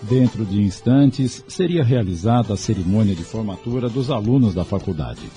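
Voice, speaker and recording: A man with a Brazilian accent narrating a scripted audio drama in a measured, literary storytelling manner. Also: lowish voice, animated, music in the background.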